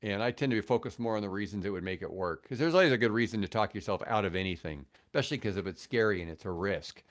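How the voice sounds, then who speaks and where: A middle-aged or older man in casual conversation as a podcast guest, reflective and rambling, often pausing mid-thought. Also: lowish voice. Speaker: podcast guest, radio host. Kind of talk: casual chat.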